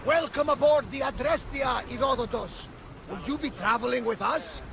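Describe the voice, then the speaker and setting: A man playing an older, devout ship captain of ancient Greece in a video game, hearty, gruff and emphatic. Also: very high voice, moderate pace, a bit bright, exaggerated voice. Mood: welcoming, reverent. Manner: emphatic, exclaiming. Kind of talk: dramatic video game cutscene acting.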